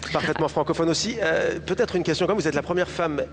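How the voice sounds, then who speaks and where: A man hosting a live television show outdoors, chatty and lively, introducing guests and segments. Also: on the high side, fast, a little hiss or hum. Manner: lively, chatty, lingers on words. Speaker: host. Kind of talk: live television interview and presenting.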